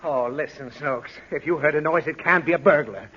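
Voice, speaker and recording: A man's voice: on the high side, a little fast, bright, forceful tone, breathy edge. An actor playing an exasperated, sleep-deprived father in 1940s radio comedy, pleading, scolding and blustering as he gets flustered. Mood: weary, scolding. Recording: muffled, hissy old radio recording.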